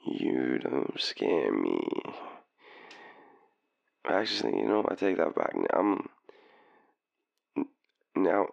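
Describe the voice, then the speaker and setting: A man's voice: very deep voice, no room sound, lo-fi sound, full character voice. An Australian man playing a tipsy, shy, lovestruck young guy phoning his crush in an intimate audio roleplay, hesitant and rambling. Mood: tender, nervous. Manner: hesitant, rambling, halting, breathy chuckles. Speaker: voice actor in boyfriend roleplay. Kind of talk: intimate audio roleplay, one-sided phone call.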